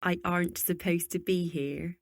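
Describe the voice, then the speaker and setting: A woman acting a lovelorn, hesitant young sister with a husky, halting delivery in an amateur period radio drama. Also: fairly low pitch, steady tone, slight background noise, close to an everyday voice. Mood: anxious.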